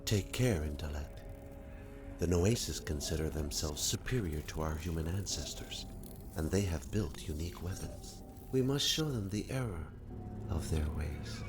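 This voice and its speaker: An actor playing a calm, solemn machine guide giving formal, measured mission briefings in a game. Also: male voice, very deep voice, over music. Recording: loud background noise and ambience.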